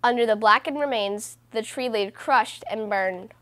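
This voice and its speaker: A woman reading a children's book aloud as host, in a measured, deliberate way with stressed phrases. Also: a little high, fairly bright tone. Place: small room indoors.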